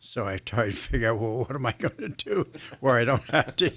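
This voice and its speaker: A man's voice: mid-range voice, lively intonation, a little fast, creaky, fairly bright tone. An older American man chatting as an interview guest, reflective and self-deprecating, feeling his way through his sentences. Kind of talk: casual anecdotal interview chat.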